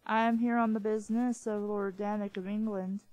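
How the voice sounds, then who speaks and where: A woman's voice: mid-range voice, level tone, normal speed, darkish tone, no room sound, lightly acted, rough recording. A woman reading the lines of a polite, nervous English scholar in a storybook. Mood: earnest.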